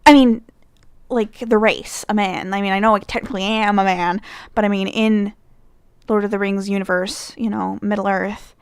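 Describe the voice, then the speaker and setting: A woman's voice: medium pitch, steady tone, normal speed, clean tone, no room sound. A young woman from Michigan with a Midwestern American accent, chatting casually through a fan questionnaire, rambling with lots of fillers and self-corrections. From Michigan, United States. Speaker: vlogger.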